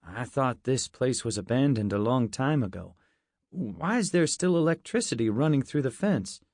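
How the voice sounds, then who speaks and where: A man's voice: medium pitch, animated, normal speed, a little mellow, no room sound, clean studio sound, natural voice. Man voicing a skeptical, grumbling boy. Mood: puzzled.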